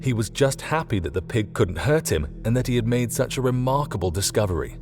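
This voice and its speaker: A man's voice: mid-range voice, animated, brisk, a little mellow. A man reading scripted fiction as an audiobook narrator. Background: faint music.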